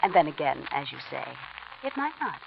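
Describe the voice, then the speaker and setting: An actress playing a cool, intelligent young widow in a 1940s radio drama, speaking with composed, clipped irony. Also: female voice, deep voice, big pitch swings, moderate pace, vocal fry, a little hiss or hum, full character voice. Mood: guarded, composed. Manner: composed, clipped, coolly ironic. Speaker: lead actress and narrator. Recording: muffled vintage radio recording with music.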